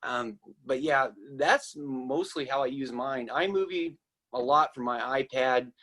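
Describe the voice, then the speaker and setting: A man on a webinar video call, answering as a video creator in a relaxed, rambling way. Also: medium pitch, unhurried. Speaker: panel guest, video creator. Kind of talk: casual panel answer.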